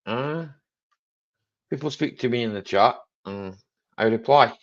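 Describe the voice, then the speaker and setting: A man with a strong Scottish Highland accent hosting a casual live chat, joking, laughing often and dropping "aye" and "eh" into his talk. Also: a little slow, muffled recording. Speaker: livestream host.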